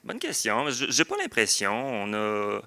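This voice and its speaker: A man's voice: fairly low pitch, huge pitch swings, a little slow, bright, forceful tone. A man with a Québécois French accent answering thoughtfully in a panel discussion, often searching for words as a literary editor. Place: book fair round table.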